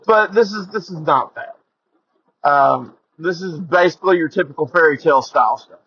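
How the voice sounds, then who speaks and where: High-voiced man chatting on a lo-fi podcast. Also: moderate pace, fairly bright tone, husky. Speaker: podcast host. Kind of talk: casual podcast chat. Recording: dull lo-fi audio.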